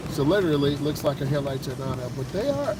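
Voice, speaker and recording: A man with a stuffed-up cold calmly explains his driving to viewers while he drives a car in slow traffic. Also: fairly high pitch, recorded from a distance. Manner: calm, unhurried, explanatory. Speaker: driving instructor. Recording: music loud behind the voice.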